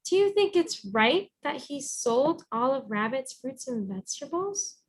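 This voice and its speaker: Lady teacher reading a story out loud, highish voice, encouraging, explains stuff as she goes. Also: very animated, measured pace. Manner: encouraging, instructive. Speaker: read-aloud teacher. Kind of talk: storybook reading with guiding questions.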